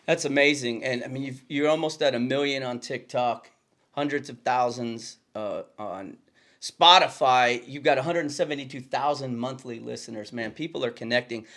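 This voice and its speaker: A man's voice: mid-range voice, normal speed, fairly bright tone. A man hosting a casual interview, asking questions with enthusiasm and complimenting his guest. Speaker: podcast host.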